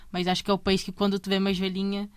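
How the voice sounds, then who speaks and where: A woman's voice: mid-range voice, completely flat delivery, very fast, clear, resonant tone. Chatty woman with mixed Brazilian-European Portuguese accent. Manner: chatty, restarts sentences, tags with "entende?". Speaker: MMA fighter, guest. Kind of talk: casual interview conversation.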